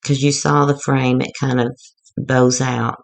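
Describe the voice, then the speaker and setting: A woman going hoarse as she gives a rambling, chatty, self-deprecating walkthrough of a craft project. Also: rumbling low, flat delivery, unhurried. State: getting hoarse. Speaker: craft tutorial maker.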